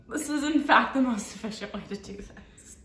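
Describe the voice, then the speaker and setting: A young woman co-hosting a cooking vlog, trading dry jokes in a home kitchen. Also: on the high side. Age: young adult. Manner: dry, joking asides. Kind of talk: casual chat while cooking. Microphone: camera across the room. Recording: echoey kitchen, distant mic, loud noise.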